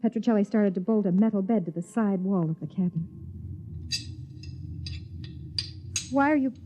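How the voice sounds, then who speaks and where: A woman's voice: mid-range voice, expressive, fast talker, mellow, natural voice. An actress playing a frightened, lovesick young pregnant wife in a 1950s radio drama, wistfully telling her story. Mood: wistful narrating. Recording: muffled 1950s radio recording, music underneath.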